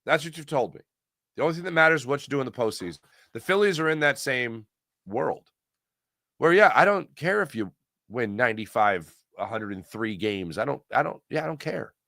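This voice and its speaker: Bright-voiced, emphatic American man. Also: mid-range voice, animated, a little fast, vocal fry, no room sound, clean studio sound. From Pennsylvania, United States. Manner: emphatic, repeats phrases for effect. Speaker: host of a sports talk livestream. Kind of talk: casual sports commentary and chat with viewers.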